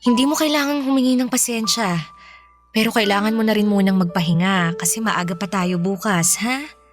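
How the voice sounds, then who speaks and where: A woman's voice: medium pitch, brisk, faint music behind, lightly acted. Woman acting a tender, protective mother. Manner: gentle, reassuring. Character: tender, protective widowed mother of two boys. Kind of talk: Filipino radio drama acting.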